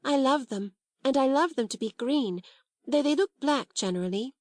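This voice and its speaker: A woman's voice: high voice, animated, normal speed, darkish tone, dry recording, voice pushed up. A woman voicing a timid, admiring young schoolgirl who speaks hesitantly. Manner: hesitant, easily startled.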